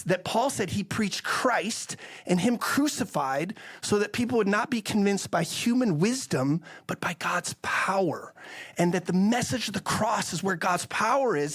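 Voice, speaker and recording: A man from the Netherlands telling his story as an interview guest, with earnest, emphatic delivery and a preaching bent. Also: high-pitched. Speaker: interview guest, missions organization leader. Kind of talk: conversational testimony and preaching.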